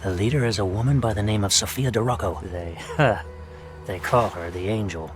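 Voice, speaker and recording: An actor playing a wry, wary intelligence officer of World War II in a game, talking in a halting, conversational way. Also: male voice, on the low side, music in the background, natural voice. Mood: wry, hesitant. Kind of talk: video game dialogue acting.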